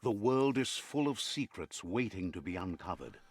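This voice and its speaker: An actor voicing a calm, wise male narrator in a video game, with a measured storytelling delivery. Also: lowish voice, husky. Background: game music.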